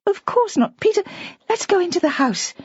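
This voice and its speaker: A woman voicing a forceful young wife who pleads and presses her husband for the truth. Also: very high-pitched, sing-song, moderate pace, no echo, highs cut off, pitched above the performer's own voice. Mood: urgent. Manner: urgent, insistent.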